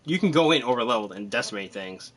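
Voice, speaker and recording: A man's voice: mid-range voice, expressive, fast, fairly bright tone. A man casually commentating on his live game stream, chatty and self-deprecating, thinking aloud as he plays.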